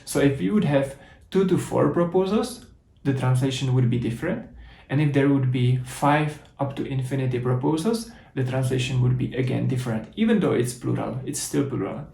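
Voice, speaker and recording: Gravelly Czech-accented man explaining code. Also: mid-range voice, moderate pace, few pauses, mellow, reverberant. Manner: explanatory, methodical, with restarts. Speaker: conference speaker. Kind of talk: technical coding talk with live demo.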